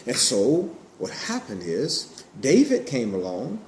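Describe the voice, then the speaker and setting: A man telling a Bible story to children in an animated way, in simple words with repeated phrases and questions. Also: medium pitch, slow pace. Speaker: storyteller, puppet show host. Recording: roomy echo, clear background noise.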